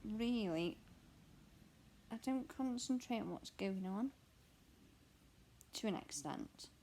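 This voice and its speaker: A young woman with a croaky voice, chatting candidly and rambling while she stitches at home. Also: medium pitch, animated, slow, dark tone, a little hiss or hum. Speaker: cross stitch vlogger and mother. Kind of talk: casual chat answering a question tag while stitching.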